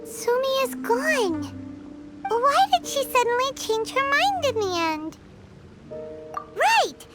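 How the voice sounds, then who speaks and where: A tiny, excitable girl companion from a video game. The voice is extremely high, squeaky and childlike, swinging animatedly up and down with earnest feeling. Music plays prominently behind it. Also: slow, long pauses, exaggerated voice. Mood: puzzled, then excited. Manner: refers to herself in third person. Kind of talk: video game character acting.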